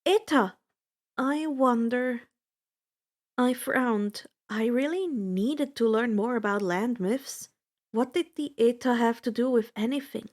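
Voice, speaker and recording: A woman reading a story aloud in an expressive first-person narration. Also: a little high, unhurried. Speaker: fan fiction narrator.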